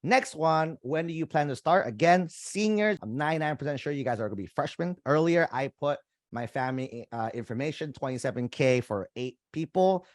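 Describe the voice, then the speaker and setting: A man's voice: on the high side, lively intonation, a little fast, hardly any pauses, no echo, studio quality. A young adult man coaching students through an application form in a casual, conversational way, speaking directly to his viewers. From United States. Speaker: college admissions coach. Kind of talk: screen-share walkthrough of an application form.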